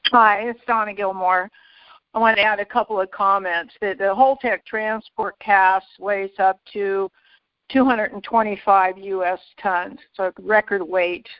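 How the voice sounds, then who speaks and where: Insistent caller on a dry phone line. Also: female voice, mid-range voice, steady tone, no room sound. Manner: brisk, technical, insistent. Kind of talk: phone-in comment on a conference call.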